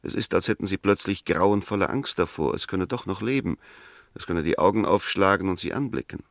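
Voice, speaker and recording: A defence lawyer in an old German radio play. His voice is fairly low and closely recorded with no room sound. He argues in measured, rhetorical sentences, probing and sceptical. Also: creaky, no echo, studio quality. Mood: persuasive. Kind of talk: 1960s German radio drama acting, courtroom-style argument.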